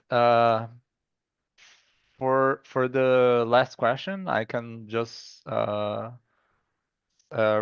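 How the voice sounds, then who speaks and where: Brazilian guy talking in an interview, flat low voice, gravelly, keeps restarting, dull dry lo-fi sound. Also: no room sound. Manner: halting, stops and restarts, says 'like' often. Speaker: guest artist. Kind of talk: casual interview chat.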